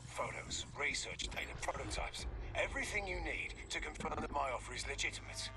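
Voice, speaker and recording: An actor playing a ruthless, hard-bitten renegade dealer in a video game, speaking in curt, threatening commands. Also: male voice, on the high side, lively intonation, a little fast, noisy background. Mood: cold, commanding. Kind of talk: video game cutscene acting.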